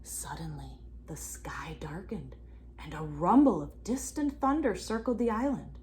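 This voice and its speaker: A woman reading a storybook aloud expressively to children, pausing for asides and questions to her young listeners. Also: lowish voice. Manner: animated, addresses children with questions. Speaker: children's read-aloud reader.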